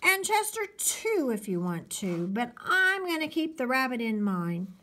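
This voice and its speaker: Bossy storybook schoolboy, acted by a woman: raised pitch, mocking, quick to complain. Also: sing-song, sharp tone, full character voice. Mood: taunting. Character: bossy, taunting older boy, the big brother.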